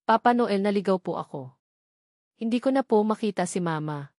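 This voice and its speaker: A woman's voice: medium pitch, animated, moderate pace, a little mellow, no echo, clean studio sound, lightly acted. A woman voicing a small, frightened, homesick boy who pleads politely in an audio storybook. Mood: tearful, pleading.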